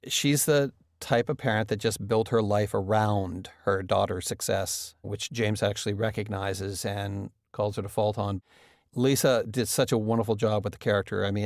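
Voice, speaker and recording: A man giving a reflective interview about drama characters, halting as he searches for words. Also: lowish voice, no echo, studio quality.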